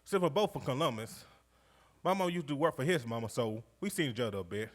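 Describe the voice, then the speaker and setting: An actor playing a jovial, warmhearted World War II veteran from Georgia with a Southern accent, spinning his memories in a folksy, exuberant way on stage. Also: mid-range voice, animated, fast, close to an everyday voice. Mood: reminiscing. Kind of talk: live stage storytelling monologue, conversational. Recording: live stage recording in recreation hall.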